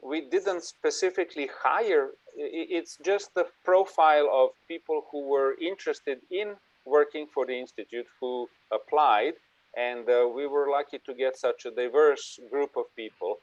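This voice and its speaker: A man's voice: medium pitch, normal speed, dry recording. A man giving a conference presentation and answering questions in a conversational way, with restarts as he goes. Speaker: invited speaker presenting an AI institute. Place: online video conference. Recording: dull, lo-fi online call audio.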